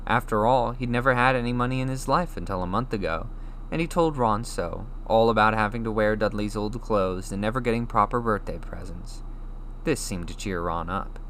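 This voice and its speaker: A man reading a children's novel aloud as expressive scripted narration. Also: fairly low pitch, moderate pace, a little hiss or hum. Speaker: audiobook reader.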